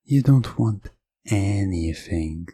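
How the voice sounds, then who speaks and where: Casting: male hypnotist, low and mellow, husky tone, soft commanding repetitions, very slow, close dry mic. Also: animated, no room sound. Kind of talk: hypnosis ASMR roleplay.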